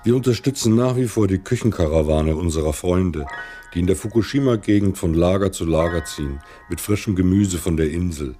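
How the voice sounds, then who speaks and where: A man narrating a radio play as a concerned islander reporting on life after a nuclear disaster, reflective and husky. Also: sits low, fairly quick, dark tone, faint music behind, natural voice. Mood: sober, wry.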